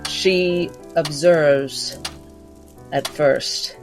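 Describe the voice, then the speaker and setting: A woman's voice: deep voice, fairly even intonation, very slow. A woman playing a tabletop game, improvising her character's thoughts in the third person in a reflective, wry way. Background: background music.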